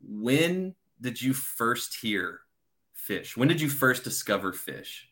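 A man's voice: medium pitch, sing-song, takes it slowly, pushed and bright, a little hiss or hum. A man in his thirties hosting a podcast, talking in an enthusiastic, slangy, rambling way. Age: 30s. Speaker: podcast host. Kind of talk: casual chat.

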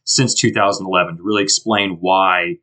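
A man's voice: deep voice, fairly even intonation, fairly quick, pushed and bright. A man hosting a podcast in a casual, enthusiastic and informal way. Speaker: podcast host.

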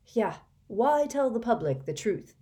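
A woman's voice: a little high, big pitch swings, unhurried, mellow, pitched above the performer's own voice. A woman doing a frustrated teenage boy wizard. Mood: bitter.

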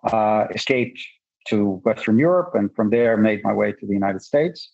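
A husky-voiced man in his sixties talks with a Russian accent, working through his explanation in a measured way, pausing on fillers and restarting phrases. His voice comes through a somewhat muffled, lo-fi line. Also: lowish voice, animated, a little mellow. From Soviet Union. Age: 60s. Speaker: guest, gold investor. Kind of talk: podcast interview.

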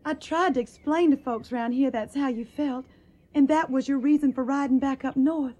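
A woman's voice: high-pitched, normal speed, mellow, lightly acted. An actress playing a tender, troubled and stubborn young Tennessee woman in a Civil War radio drama, speaking earnest, wistful, folksy lines with a Southern accent. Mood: urgent, worried.

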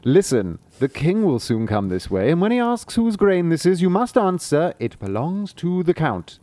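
Guy doing a high-pitched sly cat in boots, bossy and sweet-talking, storybook. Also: big pitch swings, moderate pace, warm tone, no echo. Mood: commanding. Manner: bold, coaxing, commanding.

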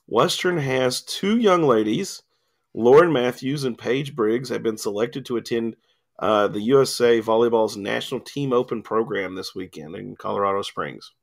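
Male sports radio host, gravelly, loose and rambling delivery, studio mic, voice wearing thin. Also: medium pitch. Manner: rambling, lots of ums and filler. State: losing his voice, coughing. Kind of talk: casual sports radio chat. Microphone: studio mic with cough button.

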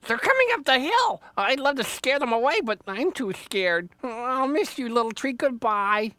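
Small storybook rabbit acted by a man: high, skittish, sweet and anxious. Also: sing-song, normal speed, bright, forceful tone, no echo, full character voice. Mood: fearful, sad.

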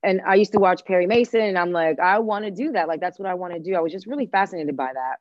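A woman's voice: on the low side, quick pace, a little mellow, no room sound, dull audio. A woman from Cuba who grew up in Washington D.C., speaking casually and emphatically as an interview guest. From born in Cuba, grew up in Washington D.C.

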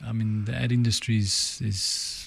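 A middle-aged man from South Africa speaks with an Afrikaans accent and a gravelly, fry-tinged voice. He talks in a measured, reflective way, often letting his sentences drop away quietly. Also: lowish voice, flat delivery. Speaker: guest, advertising entrepreneur and author. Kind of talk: reflective interview talk.

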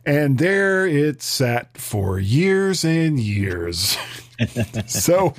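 Male podcast co-host, scratchy and strained, on the higher side, relaxed tempo, casual banter. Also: extremely slow.

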